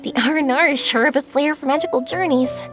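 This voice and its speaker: A woman playing a bright, kindly young adventurer in a video game, enthusiastic and reassuring in manner. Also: sits high, a little fast, over music, lightly acted. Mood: thoughtful.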